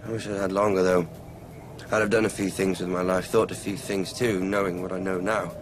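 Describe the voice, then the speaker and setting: A man's voice: low-pitched, level tone, brisk, noisy background, natural voice. An actor playing an earnest, naive young man who muses plainly about philosophy in a radio drama. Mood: earnest musing. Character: earnest young male thought-experiment figure, naive amateur philosopher. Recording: music behind the voice.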